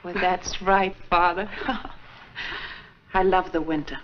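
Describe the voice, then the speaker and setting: An earnest, proper school nun. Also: female voice, fairly low pitch, fairly even intonation, slow, slight background noise. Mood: fond. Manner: earnest, polite, gently formal. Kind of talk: 1940s film acting. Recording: dull lo-fi 1940s film soundtrack.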